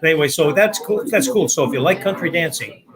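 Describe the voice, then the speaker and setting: A man hosting a casual live talk show, slightly hoarse and losing his voice, upbeat and encouraging with his guests. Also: fairly high pitch, lively intonation, fast talker, crowd noise. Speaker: show host.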